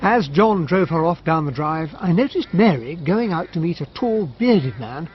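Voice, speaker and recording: Casting: actor for observant British officer-narrator, lightish voice, very varied intonation, genteel period radio mystery. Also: male voice, on the high side, normal speed, highs cut off, noisy background, natural voice. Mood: reflective. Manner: genteel, expressive. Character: courteous, observant army officer narrating a country-house mystery.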